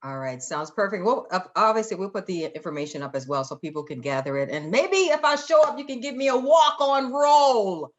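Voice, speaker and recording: A woman's voice: mid-range voice, expressive, fairly quick, few pauses, muffled recording. A woman with an American accent hosting a live show and interviewing guests in a casual way.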